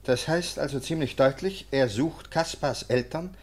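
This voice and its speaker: An actor in a German radio play playing a sneering, sceptical nineteenth-century police lieutenant with a clipped, officious manner. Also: male voice, mid-range voice, unhurried. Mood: scornful, assured.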